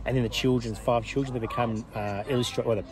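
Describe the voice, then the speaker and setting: A man's voice: mid-range voice, steady tone, fast talker, crowd noise. A man in his thirties with an Australian accent, talking casually and searching for words as he explains sights on a town walk, filmed outdoors on a phone. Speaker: travel vlogger. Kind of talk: casual chat and tour narration. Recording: people and traffic behind.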